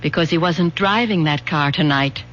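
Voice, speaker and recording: A woman's voice: low voice, moderate pace, a bit bright, slight background noise. An actress playing a suspicious, bitter middle-aged mother and wife in a radio drama. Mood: disbelieving. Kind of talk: 1970s radio drama acting. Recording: muffled old radio broadcast.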